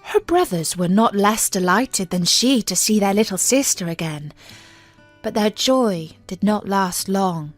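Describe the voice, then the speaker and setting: A woman narrates a scripted fairy tale at a slow pace with music behind her. Her reading is measured and careful, in the manner of a storybook audiobook. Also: mid-range voice, expressive. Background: background music.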